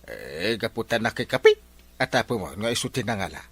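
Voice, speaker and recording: A man's voice: on the low side, sing-song, a little fast, fairly bright tone, breathy edge, no room sound, exaggerated voice. A man acting an inquisitive everyday village man in a comedy radio drama, with a Filipino accent. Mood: questioning.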